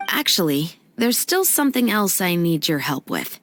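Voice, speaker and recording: An actress playing a stern, dutiful patrol captain, composed and businesslike, in a game cutscene. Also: female voice, on the low side, sing-song, moderate pace, a bit bright, slight background noise. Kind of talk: dramatic game cutscene acting. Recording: faint music behind the voice.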